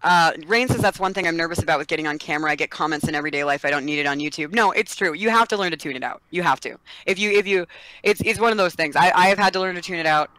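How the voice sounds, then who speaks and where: Young woman, bubbly, giggly, casual podcast host, bright tone. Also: fairly low pitch, racing, no room sound, low-quality recording. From Kentucky, USA. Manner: bubbly, giggly, greets viewers by name. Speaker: streamer hosting a podcast chat. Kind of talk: casual chat.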